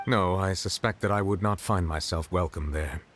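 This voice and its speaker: A man voicing a dignified, reserved ancient dragon statesman in a video game, formal and measured. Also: sits low, a bit bright, slight background noise. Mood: solemn, formal. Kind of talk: video game character acting. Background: faint game music.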